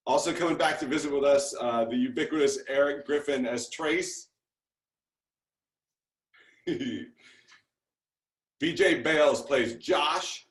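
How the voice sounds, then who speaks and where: Halting man in an echoey room. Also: medium pitch. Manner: halting, self-deprecating. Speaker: TV series creator introducing his cast. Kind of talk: casual spoken interview. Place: indoor room on a video call. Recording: echoey room over video call.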